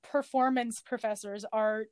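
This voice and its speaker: A woman's voice: a little high, unhurried, clean tone, no room sound. Chatty young woman hosting a podcast. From Southern California. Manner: chatty, animated, with many fillers. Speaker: podcast host. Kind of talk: casual chat.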